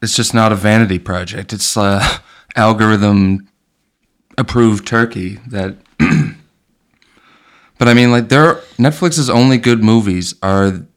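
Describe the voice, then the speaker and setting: A man with a low, smooth and resonant voice hosting a laid-back podcast about movies. He banters loosely and drops swear words without a second thought. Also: a little slow. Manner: loose, joking, swears freely. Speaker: podcast host. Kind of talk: casual chat about movies.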